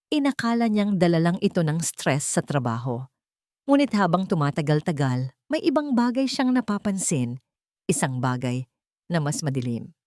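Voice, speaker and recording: A woman storyteller narrating a scripted romantic drama in an expressive, measured way. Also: sits low, dry recording, clean studio sound.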